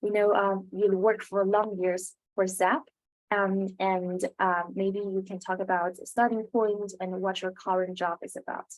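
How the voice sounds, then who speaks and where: A woman's voice: medium pitch, level tone, measured pace, a little mellow, rough recording. A young woman speaking as the host of an interview, with a prepared, scripted way of introducing and asking questions. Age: young adult.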